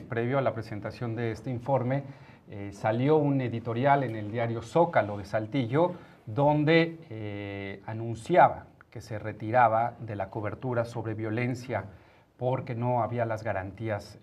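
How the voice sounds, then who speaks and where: Mexican guy moderating a panel, normal pitch, just talking it through. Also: a little mellow.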